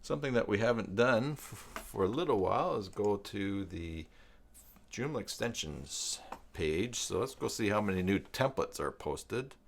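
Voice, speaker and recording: A man with a lowish voice chats casually as a live stream host. His intonation is very lively, rising and dropping often. He talks in a halting, wandering way, working through his thoughts aloud. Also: vocal fry, slight background noise. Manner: halting, rambling, thinking aloud. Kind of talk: casual live stream chat, partly reading web pages aloud.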